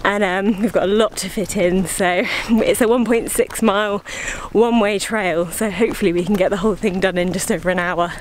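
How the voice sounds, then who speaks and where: A woman's voice: mid-range voice, steady tone, fairly quick, hardly any pauses, poor audio. A young woman vlogging casually to a handheld camera, chatty and upbeat, talking in a car and then outdoors on hiking trails. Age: young adult. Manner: chatty, upbeat, laughs often. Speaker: travel vlogger. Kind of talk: casual vlog talk to camera. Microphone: handheld camera at arm's length.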